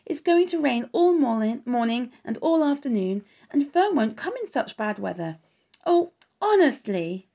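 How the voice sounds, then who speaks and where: A woman voicing a young, lonely male pig who talks in a high, pleading, whiny way. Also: sing-song, normal speed, a little mellow. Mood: miserable.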